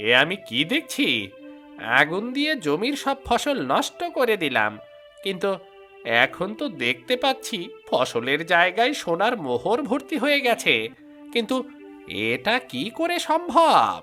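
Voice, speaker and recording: A man's voice: high voice, wildly swooping pitch, a little slow, pushed and bright, soft background music, heavily acted. A man voicing a gloating village schemer. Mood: astonished. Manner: gloating, chuckling to himself. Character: scheming jealous male village neighbor, gloating. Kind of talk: animated storybook voice acting.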